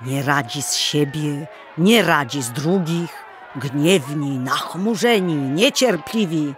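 A man narrating an old folk tale as a dramatic storyteller in a theatrical, deliberate manner. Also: on the high side, animated, a bit bright, faint music behind.

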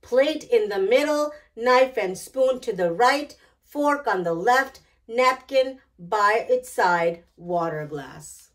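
Middle-aged female storytime host, slightly high voice, unhurried and expressive picture-book reading. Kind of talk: children's picture book read-aloud. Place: small decorated indoor storytime set.

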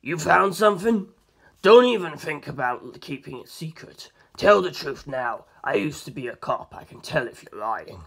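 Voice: mid-range voice, animated, normal speed, gravelly, fairly bright tone, breathy edge, voice pushed down, heavily acted. A narrator voicing a suspicious, intimidating male gangster who used to be a cop. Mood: menacing.

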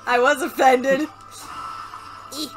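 A woman with a high voice plays a video game and chats casually as she goes. She takes her time, joking crudely and exclaiming freely, with game music clearly behind her. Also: steady tone, takes it slowly, low-quality recording. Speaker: let's play co-host playing the game. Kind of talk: casual playful gaming commentary.

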